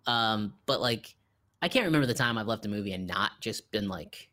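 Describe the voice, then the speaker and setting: A man co-hosting a podcast, chatting casually about a film in a rambling, halting way, repeating himself for emphasis. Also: fairly low pitch, lively intonation, pushed and bright. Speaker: podcast co-host. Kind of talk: casual film review chat.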